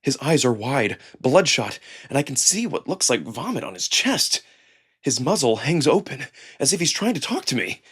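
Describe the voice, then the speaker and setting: A man reading a visual novel in the first person as a theatrical, expressive narrator. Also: mid-range voice, moderate pace, slightly rough. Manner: theatrical, expressive, acts out emotion. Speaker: narrator and performer. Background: music.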